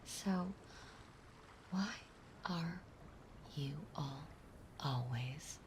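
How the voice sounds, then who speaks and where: A woman playing a possessive, playful yet menacing mermaid in an intimate roleplay audio drama, speaking in a soft, breathy, halting and teasing way. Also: low voice, a little hiss or hum. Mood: hurt, frustrated. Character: possessive yandere mermaid, playful, sweetly menacing young female. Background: ocean ambience sound effects.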